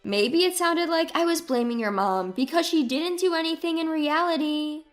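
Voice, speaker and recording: A woman's voice: high voice, moderate pace, soft background music, voice pushed up, natural voice. A woman voicing a wronged, outspoken daughter-in-law in a narrated family story, earnest and argumentative. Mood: defensive.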